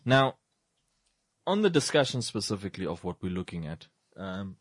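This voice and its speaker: A man's voice: low voice, big pitch swings, moderate pace, dry recording, clean studio sound. A man co-hosting a casual talk show, laying out his argument point by point in a rising list before easing off. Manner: lists points in building sequences, then drops to a quiet wrap-up. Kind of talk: casual panel discussion.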